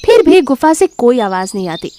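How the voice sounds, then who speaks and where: A woman's voice: on the high side, sing-song, normal speed, dark tone, slight background noise. A woman giving scripted narration of a children's moral story.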